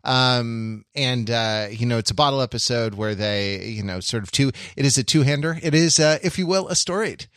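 A man co-hosting a podcast in casual discussion, speaking haltingly and wryly as he searches for words. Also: medium pitch, fairly bright tone, clean tone, no room sound, studio quality. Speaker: podcast co-host. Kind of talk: casual pop-culture discussion.

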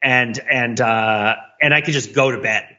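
Middle-aged American male podcast co-host, bright forceful voice, rambling and self-questioning, on slightly muffled audio. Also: medium pitch, unhurried. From United States. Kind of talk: casual podcast chat.

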